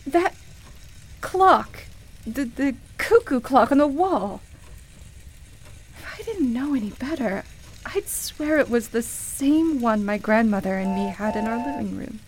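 A woman playing a nostalgic, wonderstruck shop customer in a radio play, with a hesitant, halting delivery. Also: a little high, expressive. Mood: stunned disbelief.

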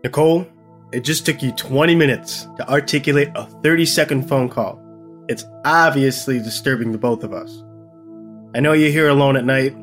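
Man playing a caring, steady boyfriend over music. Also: mid-range voice, fairly quick, natural voice. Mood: stern, caring. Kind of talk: dramatized story dialogue.

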